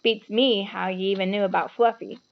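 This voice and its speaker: A woman's voice: medium pitch, steady tone, normal speed, creaky, phone-quality sound, natural voice. A woman voicing a burly, friendly male gamekeeper in a children's storybook, with folksy, clipped informal speech. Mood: guarded.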